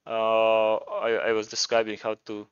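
A man's voice: fairly low pitch, monotone, a little slow, clean tone, no echo, dull audio. A man with a Croatian accent presenting a programming tutorial, talking informally and thinking aloud as he demonstrates. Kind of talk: casual screencast tutorial narration.

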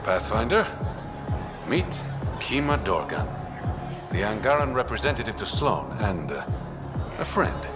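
An actor playing a smooth, wry, roguish smuggler in a space video game, with a teasing, self-mocking manner. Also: male voice, lowish voice, very animated, measured pace, pauses often. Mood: wry, suave. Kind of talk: video game dialogue acting. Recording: music behind the voice.

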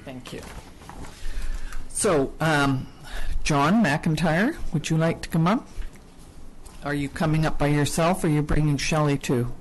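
A man's voice: on the high side, measured pace, rough recording. A man politely and procedurally chairing a public hearing in a council chamber.

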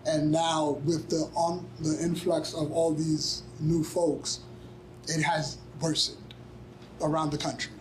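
Measured man panelist, slightly high voice. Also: flat delivery, a little hiss or hum, poor audio. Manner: measured, halting with restarts. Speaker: panelist, community advocate. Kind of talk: panel discussion answer. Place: conference room, indoors.

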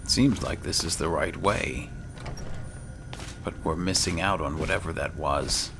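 A man's voice: a bass voice, measured pace, background noise. A man giving casual in-character commentary on a game, musing and thinking aloud. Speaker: gaming channel player narrating.

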